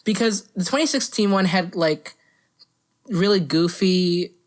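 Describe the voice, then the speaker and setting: A woman with a slightly low-pitched voice co-hosts a casual movie podcast. She talks loosely and riffs playfully on ideas as a conversation partner would. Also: unhurried. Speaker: podcast co-host.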